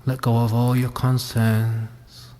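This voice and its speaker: A man leading a guided meditation, speaking gently and leaving long pauses. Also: medium pitch, level tone. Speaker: meditation teacher. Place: reverberant room at a wellness centre. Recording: long hall-like reverb.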